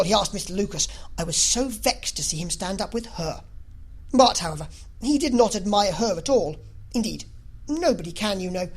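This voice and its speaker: A woman voicing an excitable, gushing middle-aged mother in a novel reading, rambling on breathlessly and effusively. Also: medium pitch, breathy edge, rough recording. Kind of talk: classic novel audiobook character reading.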